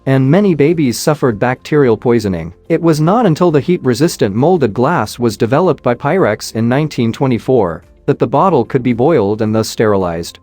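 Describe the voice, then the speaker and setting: A man giving measured, scripted narration, reading questions and answers evenly with no fillers. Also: mid-range voice, a little mellow, no echo.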